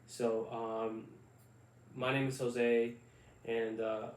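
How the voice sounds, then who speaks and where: A man in his thirties, from Puerto Rico, co-hosts a casual podcast. He talks haltingly, circling back with fillers and restarts, in a flat, level voice. He sits a bit back from the mic in an echoey room with a faint hiss behind. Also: medium pitch, distant mic. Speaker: podcast co-host. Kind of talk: casual chat.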